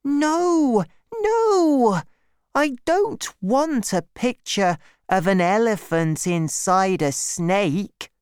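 A narrator voicing a small boy in a storybook, gentle but insistent, with slow, deliberate and simple speech. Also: medium pitch, animated, smooth, no room sound, voice pushed up. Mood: firm, protesting. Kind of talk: storybook character voice.

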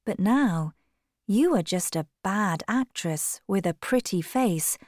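A woman's voice: mid-range voice, animated, takes it slowly, a little mellow, no room sound, clean studio sound. A woman voicing a proud young man who speaks coldly and cruelly. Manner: cold, curt.

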